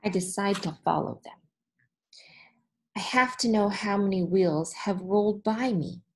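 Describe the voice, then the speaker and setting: A woman in her thirties reading a children's story aloud like a teacher, deliberate and expressive, pausing between phrases. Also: medium pitch, slight background noise. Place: small room in front of a whiteboard, indoors.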